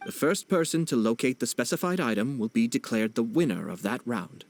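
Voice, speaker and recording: An actor playing a poised young nobleman in a video game, speaking in a formal, measured, politely wry way. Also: mid-range voice, very animated. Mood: explanatory, calm. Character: poised young nobleman, courteous, composed and subtly teasing. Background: background music.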